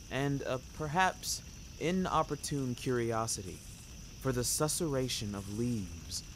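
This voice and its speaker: A man's voice: medium pitch, slight background noise. A man narrating a scripted first-person story in a wry, florid, dramatic manner. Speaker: narrator of a fiction podcast. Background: music under the voice.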